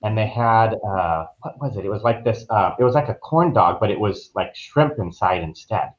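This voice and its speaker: Male podcast guest, lowish voice, easygoing conversational delivery, slightly muffled lo-fi audio. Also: expressive, normal speed. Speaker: podcast guest, travel agent. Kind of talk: casual conversational recounting.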